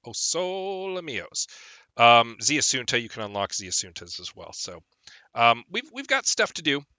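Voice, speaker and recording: Young guy streaming games, kinda phlegmy from a cold, super bright voice, dry close mic. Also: mid-range voice, sing-song, unhurried, dry recording. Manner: rambling, chatty, lots of ums and uhs. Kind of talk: casual gameplay stream chat.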